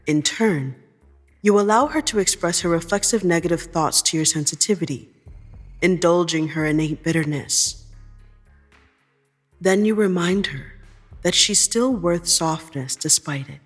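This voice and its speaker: Woman narrating fiction over music, measured. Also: lowish voice. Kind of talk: scripted second-person fiction narration.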